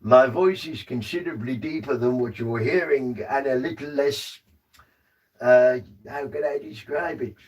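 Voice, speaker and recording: A man's voice: mid-range voice, unhurried, darkish tone, recorded from a distance, lightly acted, rough recording. A man in a trance voicing a formal, old-fashioned male spirit guide who speaks through him at a séance. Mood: amused. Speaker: trance medium. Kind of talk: seance trance communication.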